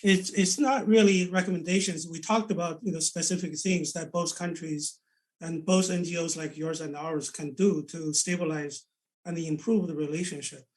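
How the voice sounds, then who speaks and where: Man, Chinese accent, non-native English, earnest panel guest, repeats words, a bit high-pitched, heard from a distance. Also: fairly even intonation, moderate pace. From China. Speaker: senior advisor, panel guest. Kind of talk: conversational panel discussion. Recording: voice set back from the mic.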